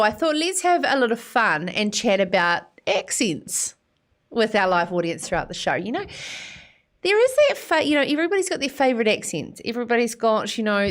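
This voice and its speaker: A woman's voice: a little high, a bit bright. A woman hosting a live farming show, chatty and teasing, often breaking into laughter. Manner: bubbly, teasing, frequent laughter. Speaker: host.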